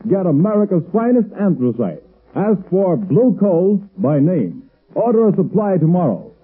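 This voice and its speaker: A high-voiced man delivers scripted old-time radio announcements and sponsor pitches. His voice is husky and fuzzy on a thin, narrow vintage recording. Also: sing-song, moderate pace. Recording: muffled, tinny 1930s radio recording.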